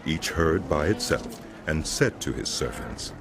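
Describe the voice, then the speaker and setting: A man narrating a scripted Bible drama in a solemn, measured storytelling manner, with music behind him. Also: very deep voice, expressive, vocal fry.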